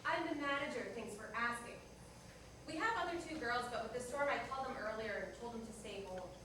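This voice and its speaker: A bitter, jilted diner manager, played by a woman in a stage play, speaks in a high voice with curt sarcasm and accusation. She is heard from far off in a very echoey room. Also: brisk, background noise, lightly acted. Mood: brusque, defensive. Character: bitter jilted woman coffee-shop manager in 1976 New York. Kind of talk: staged reader's theater dialogue. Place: indoor performance room, distant microphone.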